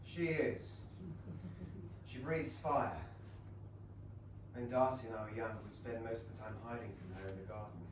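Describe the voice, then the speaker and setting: A man playing an amiable, hesitant, romantic young Regency gentleman in a staged comedy reading, polite and wistful. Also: mid-range voice, normal speed, pauses often, close to an everyday voice. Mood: amused, reminiscing. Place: small theatre stage. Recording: distant mic, echoey room, loud background noise.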